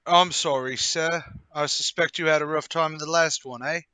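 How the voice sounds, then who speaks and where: A gossipy young workingman acted by a man with a high, bright voice. He talks in a folksy, curious way for a radio mystery, recorded close and dry. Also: steady tone, moderate pace, clean tone, no room sound. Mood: sympathetic, curious. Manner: colloquial, folksy, chatty. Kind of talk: radio mystery drama table read.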